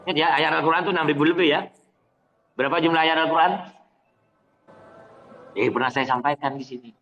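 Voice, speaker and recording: A man preaching a sermon, questioning his listeners and chanting verses slowly. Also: on the high side, fairly even intonation, bright, forceful tone, dull audio. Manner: addresses listeners as Pak, asks the crowd questions. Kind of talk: mosque sermon. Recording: hall reverb, faint noise.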